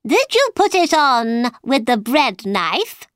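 A woman's voice: high voice, big pitch swings, takes it slowly, no room sound, studio quality, voice pushed up, exaggerated voice. A woman voicing an eccentric, irritable man in a children's storybook, blunt and emphatic. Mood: matter-of-fact. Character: Mad Hatter, eccentric irritable man at tea party.

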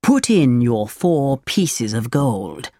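A woman's voice: extremely low pitch, very animated, slow, warm tone, dry recording, studio quality, exaggerated voice. A woman voicing a sly fox in a children's storybook, coaxing and giving instructions.

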